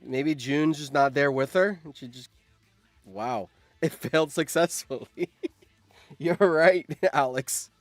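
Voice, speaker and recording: A man live-streaming as host, reading out game results with animated, excitable commentary and surprised exclamations. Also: a little high, takes it slowly, vocal fry, no echo, faint music behind.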